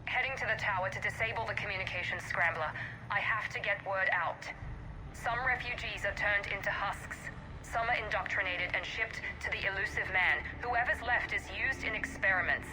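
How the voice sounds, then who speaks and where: A woman's voice: mid-range voice, close to an everyday voice, poor audio. A woman playing a resolute young operative who delivers terse, earnest warnings in dramatic game dialogue. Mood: grim, determined. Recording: game music behind the voice. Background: loud music.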